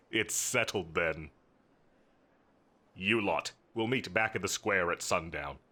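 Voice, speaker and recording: A man's voice: low voice, slow pace, bright, forceful tone, heavily acted. A man playing a confident, teasing villain commander in a roleplay audio drama, drawling and flirtatious with a bossy edge. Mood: stern, commanding. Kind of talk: scripted roleplay audio drama addressed to the listener.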